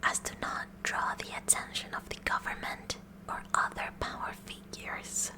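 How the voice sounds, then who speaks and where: A woman playing a kooky, eager teenage science club president in a breathy whispered ASMR roleplay, speaking in a deliberate, mock-official way. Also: close to an everyday voice. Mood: officious, eager. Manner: slow, deliberate, mock-official with awkward stammers.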